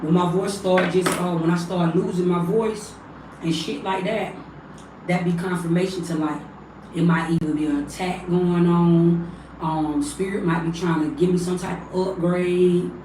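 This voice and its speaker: A woman with a raspy, hoarse voice, chatting loosely on a livestream as a spiritual reader and calling her viewers family. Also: fairly low pitch, steady tone, moderate pace, dark tone, slight background noise. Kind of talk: casual livestream chat. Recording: echoey room, mic at a distance.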